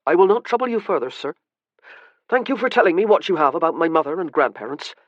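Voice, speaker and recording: A polite, restrained young gentleman speaking in formal, measured tones, as a male narrator voices him. His voice sits fairly high, and the recording is dry and a touch dull. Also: animated, no echo.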